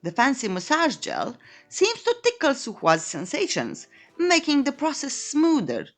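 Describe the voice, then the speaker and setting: A woman narrates a playful recap with a coy, tongue-in-cheek delivery. Her voice sits a little high and swings very widely in pitch. Faint music plays behind the voice-over. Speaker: narrator of comic recap videos.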